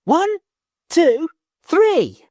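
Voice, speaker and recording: Man doing a young boy bellowing counts from a tower, high and loud, storybook reading. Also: huge pitch swings, no room sound, studio quality, full character voice. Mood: shouting. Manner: loud, slow shouting.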